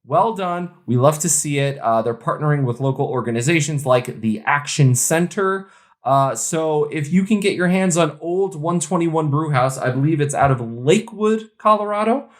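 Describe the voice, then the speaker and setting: A man's voice: a little high, expressive, normal speed. A man co-hosting a podcast, talking informally and colloquially through news and banter. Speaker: podcast co-host.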